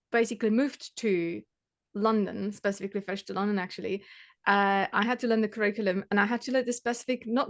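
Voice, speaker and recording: A woman in her thirties from the Czech Republic, whose first language is Czech, talking casually about her tutoring work with many fillers and restarts. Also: mid-range voice. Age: 30s. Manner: chatty, many fillers and restarts, laughs often. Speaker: maths tutor. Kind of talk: casual interview chat.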